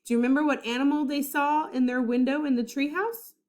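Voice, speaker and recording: Female primary teacher, slightly high voice, simple, clear-worded storybook narration for first graders. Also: steady tone, brisk, a little mellow. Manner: explaining simply, checking understanding. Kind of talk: reading a children's book aloud with recaps.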